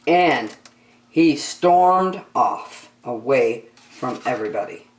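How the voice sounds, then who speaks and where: Slow, animated woman reading a storybook. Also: very deep voice. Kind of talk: expressive picture-book read-aloud. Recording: roomy, echoey sound.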